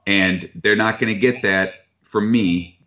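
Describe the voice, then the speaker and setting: Very deep-voiced man, relaxed and frank. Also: measured pace. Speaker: chef who buys from foragers. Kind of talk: casual interview talk. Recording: dull lo-fi sound.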